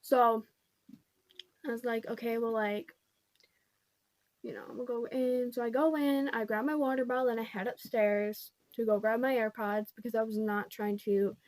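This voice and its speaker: A woman's voice: a little high, steady tone, normal speed, darkish tone, dry recording. Congested young woman telling a rambling story. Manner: rambling, full of "like" and "pretty much". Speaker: vlogger. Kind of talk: casual storytime.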